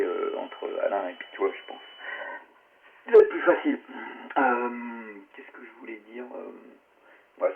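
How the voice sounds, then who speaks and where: A man's voice: sits low, vocal fry, breathy edge. A man chatting casually and in slang as an amateur radio operator over a tinny radio link. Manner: informal, slangy. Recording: tinny narrow ham radio link, clicks, static.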